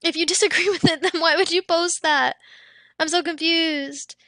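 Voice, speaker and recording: A young woman streamer chatting casually with her viewers and reading out chat, her voice a little worn from losing it, often dropping into soft whispery asides. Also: extremely high pitch, fairly even intonation, normal speed, dry recording. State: losing her voice, throat a bit off.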